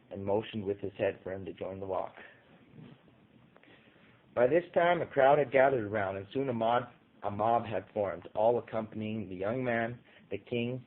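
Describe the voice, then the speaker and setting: A man's voice: lowish voice, normal speed. Man narrating a story, phone-like sound. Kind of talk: dramatic scripted storybook narration.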